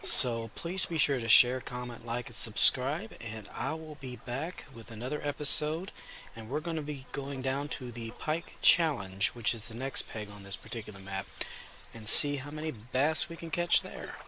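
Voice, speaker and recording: A man with a cold, sounding congested, giving relaxed, chatty commentary while playing a game and talking to his viewers. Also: medium pitch, normal speed, a little hiss or hum. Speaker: gaming video host. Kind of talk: casual gameplay commentary.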